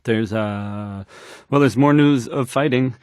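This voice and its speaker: A man's voice: medium pitch, darkish tone, clear, resonant tone, dry recording, studio quality, natural voice. A man playing a stammering, insecure radio DJ. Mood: anxious, rambling. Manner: stammering, hesitant, halting, rambling and unsure. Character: nervous, awkward, insecure young male radio DJ. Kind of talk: fumbled radio news report in a video game.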